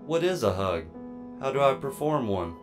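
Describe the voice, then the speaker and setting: A man's voice: mid-range voice, sing-song, moderate pace, natural voice. Man acting a naive artificial man over music. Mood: innocent, questioning. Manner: formal, literal, questioning. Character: naive, earnest artificial man, childlike and curious. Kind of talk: audio drama acting. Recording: music under the voice.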